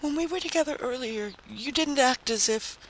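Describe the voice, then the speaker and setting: A man's voice: very high voice, moderate pace, fairly bright tone, slight background noise, pitched above the performer's own voice, poor audio. A man voicing a desperate, distraught wife in a dramatic story reading, agonized and close to hysteria. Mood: agonized, faltering. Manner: agonized, faltering, rising to screams.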